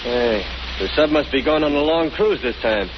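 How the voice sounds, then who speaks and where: An actor plays a suspicious working crook in a 1930s American radio drama. His speech is slangy and lively, swinging in pitch. Hiss runs through the muffled recording. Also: normal speed, close to an everyday voice. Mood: wary, grumbling. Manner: slangy, ungrammatical.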